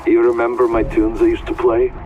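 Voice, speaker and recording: An actor playing a weathered, friendly older truck driver in a sci-fi video game, heard through a thin phone-like line. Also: male voice, mid-range voice, monotone, brisk, natural voice. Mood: nostalgic. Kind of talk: video game dialogue acting.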